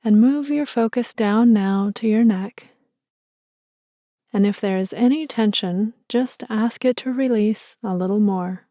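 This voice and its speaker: A woman leading a guided meditation in a slow, soothing and gentle way. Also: mid-range voice, no echo, studio quality.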